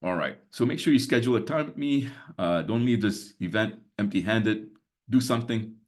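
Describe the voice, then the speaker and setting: Deep-voiced man in his sixties, chatty. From Singapore. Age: 60s. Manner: chatty, many fillers, chuckles between points. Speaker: webinar host and business mentor. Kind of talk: casual live webinar talk.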